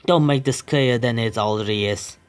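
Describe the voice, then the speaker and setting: A man's voice: mid-range voice, a little fast, clean tone. Young man reading a horror game aloud. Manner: stumbling reads, swearing and joking asides. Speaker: game streamer. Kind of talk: reading a visual novel aloud with commentary.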